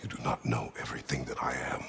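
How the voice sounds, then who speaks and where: A man playing a stern, weary Greek warrior god in a video game, terse and grave, with a deep gravelly voice. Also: steady tone, fast, a bit bright, a little hiss or hum. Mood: guarded, resolute. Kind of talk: video game cutscene acting.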